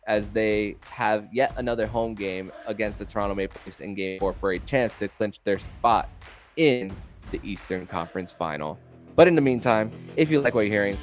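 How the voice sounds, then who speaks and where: A male podcast host with a slightly low voice. He presents sports talk in a conversational style. Some light music sits under the recording.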